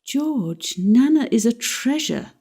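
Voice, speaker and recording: Woman voicing a gentle placid mother. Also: on the high side, sing-song, slow pace, breathy edge, voice pushed up, heavily acted. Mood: soothing.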